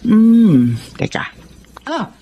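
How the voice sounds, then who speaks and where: Slow-talking, boastful Filipino woman. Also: fairly high pitch, big pitch swings, warm tone, lo-fi sound, slight background noise, exaggerated voice. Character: boastful, teasing married woman neighbor. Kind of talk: Filipino radio drama acting.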